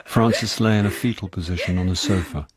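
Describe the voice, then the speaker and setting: An actor with an English accent playing a rueful, boozy amateur sleuth, narrating a radio drama in a wry, self-deprecating way. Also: male voice, sits low, brisk, a crowd in the background, lightly acted.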